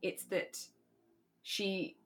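A British woman co-hosting a podcast in a relaxed, chatty and reflective discussion of a radio drama. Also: very slow. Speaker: podcast co-host.

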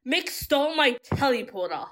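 A woman's voice: high-pitched, big pitch swings, normal speed, fairly bright tone. A woman playing a stubborn, hot-headed young woman with powers in an audio drama. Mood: indignant.

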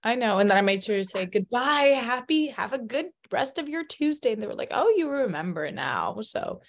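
A woman's voice: on the high side, sing-song, normal speed. A young woman from Chicago co-hosting a casual podcast, bubbly and chatty, laughing often and using many fillers. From Chicago, United States. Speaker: podcast co-host.

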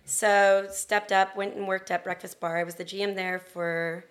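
A middle-aged American woman telling her story casually as an interview guest, chatty and halting as she gathers her thoughts. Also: mid-range voice, flat delivery. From Florida and Texas, then Long Beach, California. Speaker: interview guest, restaurant owner.

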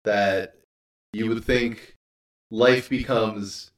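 A man's voice: fairly low pitch, steady tone, extremely slow. A man speaking as an interview guest, in casual, encouraging conversation, recorded in a very echoey room at a distance. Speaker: interview guest, audio drama creator.

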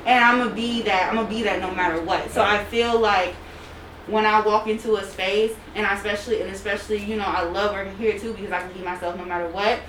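A woman's voice: mid-range voice, steady tone. A young woman in a casual group discussion, talking emphatically and unapologetically. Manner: emphatic, loud and unapologetic, drawn-out phrasing. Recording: echoey room, distant mic, background noise.

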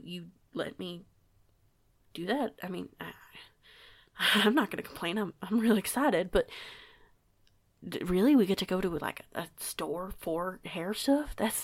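A woman's voice: medium pitch, gravelly. A young woman playing an eager, awkward werewolf newcomer in an audio roleplay, talking in a hesitant, rambling, informal way. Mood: excited. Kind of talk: audio roleplay acting, casual dialogue.

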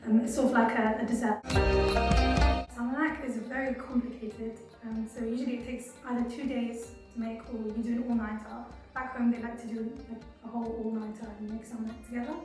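A woman's voice: a little high, flat delivery, brisk, darkish tone. A young woman from England explaining her traditions in a hesitant, thoughtful way, full of ums. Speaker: interviewee. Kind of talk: casual explanation to camera. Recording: roomy, distant mic, music underneath.

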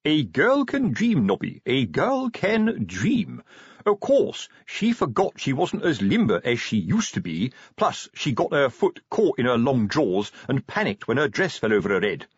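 A man's voice: medium pitch, wildly swooping pitch, unhurried, slightly rough, no echo, muffled recording, pitched above the performer's own voice, exaggerated voice. A man voicing a pompous, fatherly older police sergeant in an audiobook, hesitant and prudish in his colloquial talk. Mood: earnest, embarrassed. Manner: hesitant, self-important, colloquial working-class phrasing. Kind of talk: audiobook character dialogue.

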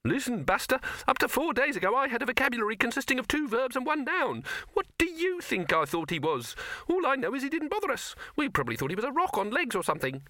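A man's voice: very high-pitched, big pitch swings, fast talker, sharp tone, dry recording, pitched above the performer's own voice, full character voice. A man voicing a small, feisty talking rabbit with a sardonic, streetwise manner that takes offence easily, in a storybook reading. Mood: brash.

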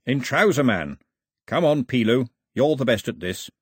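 A man voicing a proud islander father who speaks firmly and a little loudly, sure of his son's greatness. The voice sits somewhat above the reader's own, husky, in a dry sound. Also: mid-range voice, lively intonation, normal speed, no echo, voice pushed up. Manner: firm, emphatic.

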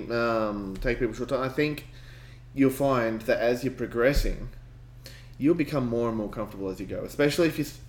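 A man co-hosting a casual podcast as a game master, talking with enthusiasm and frequent laughter. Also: mid-range voice. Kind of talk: casual chat. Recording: echoey room with faint rain ambience.

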